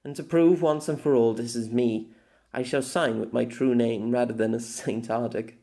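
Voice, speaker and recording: A man's voice: mid-range voice, steady tone, mellow, close to an everyday voice. A man voicing a weary, lonely, long-lived empress in an audiobook, reading her farewell letter in a formal, wistful manner. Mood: tender, resolute. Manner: formal, wistful, measured with dramatic pauses. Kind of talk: scripted audiobook narration of a letter.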